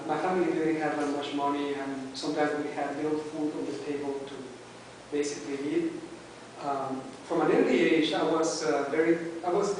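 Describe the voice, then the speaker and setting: A man with a Mexican accent, his first language Spanish, gives a halting prepared speech to a club, pausing often on fillers. His voice sits a little high for a man. He is recorded from across a very echoey meeting room, with a faint hiss underneath. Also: far from the mic. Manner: hesitant, many "uh"s and restarts. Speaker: club member giving a speech.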